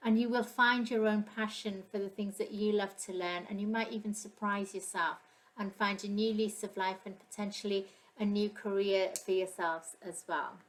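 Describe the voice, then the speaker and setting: A woman's voice: medium pitch, fairly even intonation, normal speed, poor audio. A woman wrapping up a short talk in a friendly, encouraging way. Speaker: speaker in a video.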